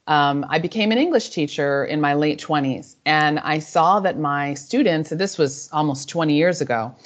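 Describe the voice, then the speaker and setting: A woman in her forties, a native Spanish speaker, telling a guest's story reflectively and warmly, often restarting her sentences. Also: deep voice, normal speed. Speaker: author and guest. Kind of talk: interview answers. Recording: slightly dull lo-fi audio.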